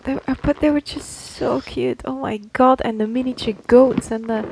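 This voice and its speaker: A woman's voice: sits high, steady tone, normal speed, creaky, darkish tone, slight background noise, poor audio. A woman co-hosting a casual podcast, chatting in an effusive, rambling storytelling way. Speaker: podcast co-host. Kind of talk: casual chat.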